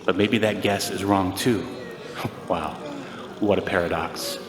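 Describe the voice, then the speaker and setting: A man's voice: deep voice, fairly even intonation, slow, reverberant, big-room sound, a crowd in the background. An actor playing a sarcastic, exasperated insomniac everyman in an audio drama, wry and self-deprecating. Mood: despairing, wry. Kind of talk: audio drama acting and monologue.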